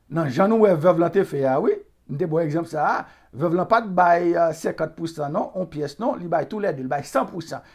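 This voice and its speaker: A middle-aged Haitian pastor preaches a sermon with a Haitian Creole accent. His pitch swings widely as calm, filler-laden teaching rises into emphatic outbursts. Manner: preaching with rising emphatic bursts and frequent fillers.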